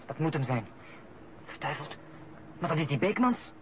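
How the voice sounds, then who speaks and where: An actor playing a quick, alert police sidekick in a radio drama. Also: male voice, fairly high pitch, sing-song. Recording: very noisy old radio play recording.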